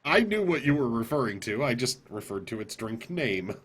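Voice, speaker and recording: A man's voice: medium pitch, sing-song, normal speed, a bit bright, breathy edge. A man co-hosting a podcast, casually bantering on a live stream with easygoing, brief interjections.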